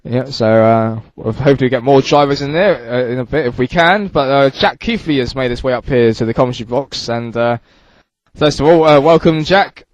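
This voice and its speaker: A man commentating on an online race and interviewing drivers, speaking in a slightly halting, conversational way. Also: mid-range voice, dry recording, dull audio. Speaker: sim racing race commentator. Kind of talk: live sports commentary and interviewing.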